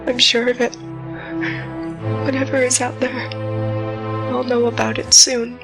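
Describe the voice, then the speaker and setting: A woman playing a frightened but defiant starship captain in an audio drama, speaking in a halting, emotional monologue. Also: a little high, level tone, creaky. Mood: scared, tearful. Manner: halting, emotional, addressing an unseen foe. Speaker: voice actor in an audio drama podcast. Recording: music behind the voice.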